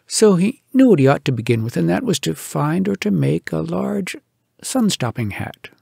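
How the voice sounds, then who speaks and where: A man reads humorous poems aloud as a storyteller, with theatrical, expressive delivery and dramatic pauses. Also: on the high side, creaky, husky, no room sound, clean studio sound. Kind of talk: expressive comic poetry recitation.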